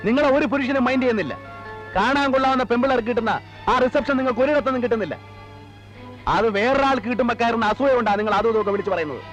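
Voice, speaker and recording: Arrogant, high-pitched, domineering man. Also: fairly bright tone, rough recording. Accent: Indian Malayali. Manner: scornful, mixes Malayalam with English outbursts. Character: arrogant, cruel, domineering college man with a contemptuous temper. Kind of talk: heated film drama dialogue.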